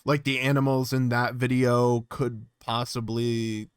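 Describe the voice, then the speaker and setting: A man's voice: medium pitch, monotone, unhurried, clear, resonant tone, no echo, clean studio sound. A man doing casual reaction commentary as a streamer, thinking aloud and reading text off the screen. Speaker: streamer reacting to an analog horror series.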